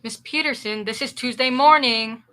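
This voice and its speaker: A young female middle school student playing an exasperated, sarcastic male law office boss in an old-time radio comedy, brisk and scolding. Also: fairly high pitch, pushed and bright.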